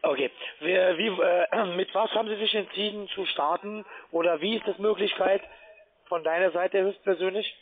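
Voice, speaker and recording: High-voiced man with foreign accent on phone. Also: a little hiss or hum. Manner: halting, ungrammatical speech. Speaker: call centre account manager, scam caller. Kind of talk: phone sales pitch. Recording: band-limited, tinny phone line.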